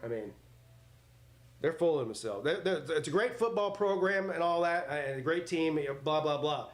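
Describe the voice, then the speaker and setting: A man's voice: on the high side, expressive, normal speed. A man in his forties hosting a live sports watch party, mixing casual chat with play-by-play in a blunt, joking, rambling way. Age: 40s.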